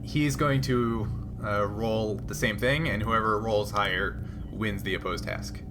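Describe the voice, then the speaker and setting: A man's voice: on the low side, steady tone, a little slow. A man acting as game master, explaining rules and rulings in a tabletop role-playing game. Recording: clear background noise.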